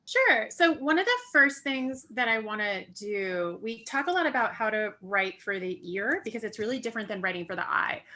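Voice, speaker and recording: A woman speaking as a professor in an informal, interactive way, brisk and direct. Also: on the high side, big pitch swings, pushed and bright. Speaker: audio journalism professor.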